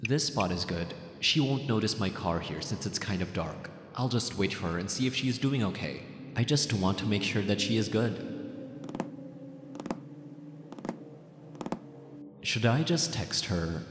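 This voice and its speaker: Man acting a brooding obsessive ex-boyfriend, deep and echoey. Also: animated, moderate pace, few pauses, noisy background, natural voice. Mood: torn, uneasy. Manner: brooding, agonized, self-questioning. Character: obsessive, lovesick young ex-boyfriend, anxious and possessive. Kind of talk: ASMR roleplay, dramatic inner monologue. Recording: reverberant echoey voice over music. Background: music.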